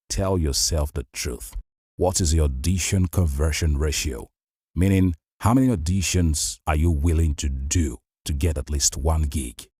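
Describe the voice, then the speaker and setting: A man with a very deep, husky voice and a Nigerian accent talks straight to his listeners. He coaches them in a conversational, motivational way. The recording is close and dry, as in a home studio. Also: darkish tone, no room sound. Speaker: voice-over artist hosting a show. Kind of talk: advice monologue to listeners.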